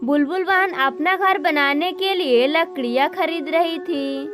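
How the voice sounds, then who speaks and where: A woman's voice: very high voice, a little slow, a bit bright. A woman reading a scripted children's story as narrator, with music playing behind her.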